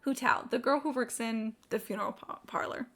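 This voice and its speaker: A woman's voice: on the high side, fairly quick, a bit bright. A woman livestreaming a game with casual, sweary, self-deprecating commentary, thinking aloud. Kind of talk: casual live gaming commentary.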